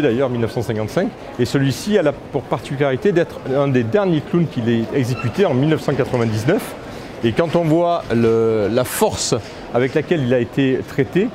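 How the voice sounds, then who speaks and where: A man in his fifties, an art dealer, presenting a painting with emphasis at an art fair. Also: mid-range voice. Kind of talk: explaining an artwork on camera. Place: art fair stand indoors. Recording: crowd chatter behind.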